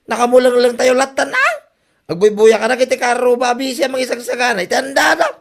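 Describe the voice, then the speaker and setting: A man's voice: extremely high pitch, steady tone, brisk, gravelly, a bit bright, heavily acted. Man acting an exasperated, scolding Ilocano husband. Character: exasperated husband scolding his spendthrift wife Anita. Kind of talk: comedy radio drama acting. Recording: music behind the voice.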